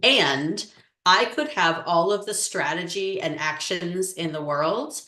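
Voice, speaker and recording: A woman coach answering interview questions in a measured, explanatory way with frequent "um"s. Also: fairly low pitch, bright, forceful tone. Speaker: relationship coach. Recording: echoey room.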